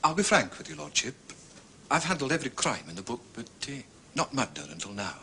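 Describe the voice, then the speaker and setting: An actor playing a methodical, proud Scottish police inspector in a period detective drama, speaking precisely and courteously with a Scottish accent. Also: male voice, mid-range voice, very animated, a little fast, creaky, pushed and bright, slight background noise, exaggerated voice. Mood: proud, businesslike.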